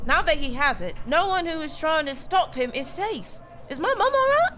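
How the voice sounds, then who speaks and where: A woman's voice: high-pitched, very animated, moderate pace. A woman playing a fiery, wounded young working-class girl in an audio drama, blunt, accusing and emotional. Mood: flustered. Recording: music behind the dialogue.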